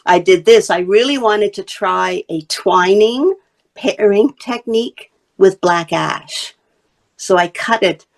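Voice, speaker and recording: A woman's voice: medium pitch, takes it slowly, creaky. A woman from Wales, a basketry artist and teacher, chatting in an interview while she shows and explains her work. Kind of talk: casual interview talk.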